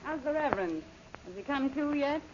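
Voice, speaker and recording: A mocking villainess in a 1930s radio adventure. Her high voice swoops as she coolly gives orders and taunts, on a muffled, noisy old recording. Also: animated, a little fast. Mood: cold, taunting. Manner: imperious, mocking. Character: cold, taunting villainess who commands henchmen. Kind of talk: 1930s radio serial acting.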